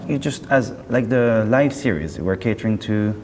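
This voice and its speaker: A man being interviewed as a company representative, chatting casually in a halting, self-correcting way. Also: fairly low pitch, animated. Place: indoor hi-fi show. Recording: roomy hall, clear background noise.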